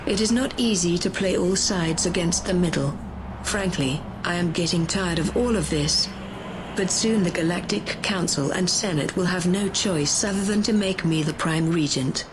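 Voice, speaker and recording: A woman's voice: lowish voice, normal speed, noisy background, close to an everyday voice. A woman playing a bossy, menacing, power-hungry conspirator in a sci-fi audio drama. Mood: scheming.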